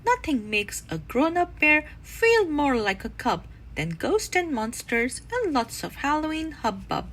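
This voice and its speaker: Woman, storybook narration, expressive, swooping intonation, higher-pitched, read aloud for children. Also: unhurried, hardly any pauses, slight background noise, poor audio.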